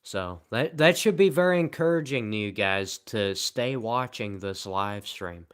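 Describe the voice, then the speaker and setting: A tired man live streaming, rambling in a sarcastic, self-mocking way as he answers viewer comments at low energy. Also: lively intonation, a little slow, a bit bright, smooth.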